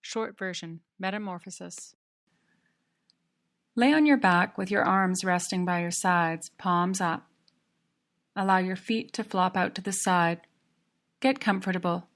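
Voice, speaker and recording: A woman narrating a guided yoga relaxation in a calm, measured, soothing manner. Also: on the low side.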